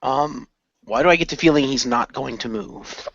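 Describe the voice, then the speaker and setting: A man's voice: mid-range voice, moderate pace, vocal fry, fairly bright tone, no echo. A man playing in an improvised radio play, narrating his character's moves and speaking the lines. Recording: dull lo-fi voice recording.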